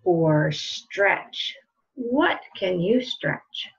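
A woman's voice: lowish voice, lively intonation, extremely slow. A middle-aged woman hosting a children's story time as a librarian, explaining things simply, on lo-fi home webcam audio. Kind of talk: children's story time read-aloud and craft instructions. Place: indoors in a room at home.

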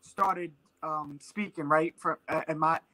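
Brief: man in his thirties, native Spanish speaker, thoughtful guest, very bright voice on a webcam. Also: fairly high pitch, fairly even intonation, measured pace. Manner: thoughtful, with frequent fillers and restarts. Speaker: guest artist. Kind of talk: casual interview answers. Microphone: webcam on a video call.

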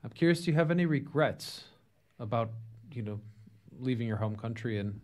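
A man's voice: mid-range voice, expressive, moderate pace, a little mellow. Reflective man hosting a podcast in a studio. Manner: reflective, relating to the guest with his own experiences. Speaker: podcast host. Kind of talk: casual interview. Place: studio with neon sign. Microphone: headphones and studio mic.

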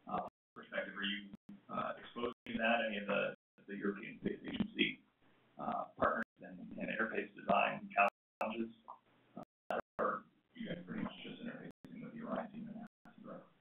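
Low gravelly man, distant and echoey. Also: flat delivery, a little fast. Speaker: audience member asking a question. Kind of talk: spontaneous conference question. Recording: gravelly distant phone-like pickup, echo, faint noise.

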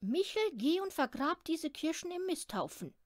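Woman reading as a high-voiced instructive farm mother. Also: very animated, normal speed, no echo, clean studio sound. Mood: instructing. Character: farm mother giving her household instructions.

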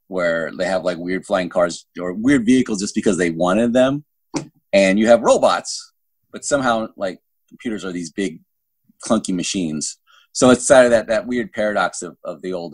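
Middle aged guy, kinda deep husky voice, rambles and restarts a lot, chatting in an interview, dry sound. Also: normal speed, no echo. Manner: rambling, self-interrupting with repeated false starts. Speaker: guest novelist. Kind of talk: casual interview chat. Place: indoor room at home. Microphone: phone at arm's length on a video call.

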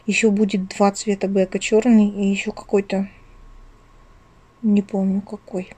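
A woman with a soft-edged, mellow voice hosts a homely craft vlog. She chats casually at a middle pitch, rambling and breaking off her own sentences with affection. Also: flat delivery, measured pace, slightly rough. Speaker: craft vlogger, channel host. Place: at home, indoors.

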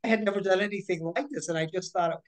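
A woman's voice: medium pitch, fast talker. Woman, forties, voice actor, chatty, gestures as she talks, restarts a lot, video call, home living room. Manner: chatty, animated, with many restarts and hand gestures. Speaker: guest voice actor. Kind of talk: casual interview storytelling.

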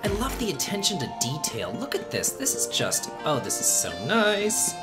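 A man's voice: on the high side, wildly swooping pitch, normal speed. A man hosting a let's play with casual, playful commentary and frequent exclamations. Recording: loud game music behind. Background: game music and effects.

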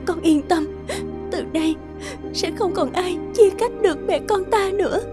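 A woman's voice: extremely high pitch, normal speed. A woman voicing an anxious, loving young mother in a cartoon, earnest and emotional. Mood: tearful, tender. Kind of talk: cartoon dubbing. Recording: music behind the voice.

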